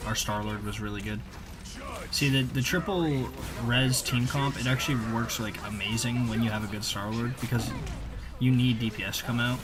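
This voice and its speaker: A man's voice: mid-range voice, normal speed, background noise. A man giving casual, rambling voice-over commentary on his own video game play, using informal gamer slang.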